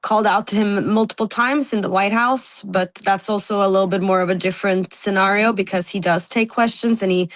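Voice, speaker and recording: A woman's voice: mid-range voice, fairly even intonation, fairly quick, few pauses, no room sound. A woman journalist, giving a candid interview over a video call and laughing between points. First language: Norwegian.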